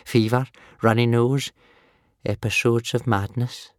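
Wheezy, croaky ancient soothsayer. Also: male voice, on the high side, sing-song, a little mellow, no echo, studio quality, pitched above the performer's own voice, full character voice. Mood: muttering, grave. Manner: slow, muttering, tut-tutting. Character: ancient wheezy male soothsayer and village healer, gloomy.

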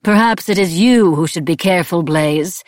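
A woman playing a cruel, imperious blood witch in an audiobook, speaking with slow, deliberate disdain. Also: fairly low pitch, a little mellow, no echo, clean studio sound, natural voice. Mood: cold menace. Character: cold, cruel, commanding blood witch matriarch.

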